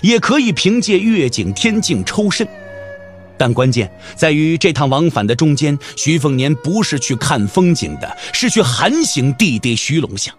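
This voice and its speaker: A man's voice: on the high side, very animated, moderate pace, faint music behind. A man narrating a Mandarin audio drama as a scripted story, theatrical and intense.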